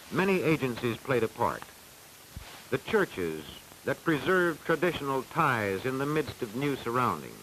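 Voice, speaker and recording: An American man reading scripted narration for a 1940s educational film in a formal, measured, declamatory way, on a hissy old soundtrack. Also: medium pitch, fairly bright tone, low-quality recording.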